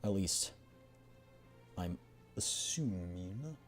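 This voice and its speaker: Low-voiced male game streamer. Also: lively intonation, extremely slow, soft background music. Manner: casual, thinking aloud. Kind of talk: game readthrough with casual commentary.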